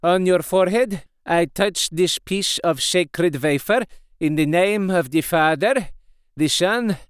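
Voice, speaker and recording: A man's voice: sits high, clean tone, no room sound, clean studio sound, pitched above the performer's own voice. A man doing a grave elderly professor. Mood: solemn. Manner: solemn, formal, slow and deliberate. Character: wise elderly male professor, kindly and grave.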